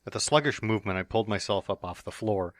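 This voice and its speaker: A man narrating a story in the first person as a dramatic reading, in a steady, earnest storytelling manner. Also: on the low side, fast, no echo. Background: soft background music.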